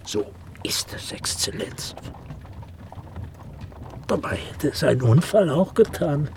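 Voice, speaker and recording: A man's voice: on the high side, expressive, slow pace, creaky, darkish tone, slight background noise, exaggerated voice. An actor playing an opinionated, ingratiating man of letters in a radio play, pompous and rhetorical, dropping into muttered asides. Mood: conspiratorial. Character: Herr Hund, opinionated, ingratiating literary man, slyly malicious. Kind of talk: German radio play acting.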